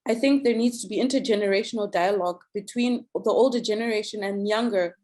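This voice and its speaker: A young woman from Zimbabwe giving an earnest, thoughtful contribution in an online meeting, pausing often with ums and restarts. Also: mid-range voice, warm tone. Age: young adult. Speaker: youth summit participant.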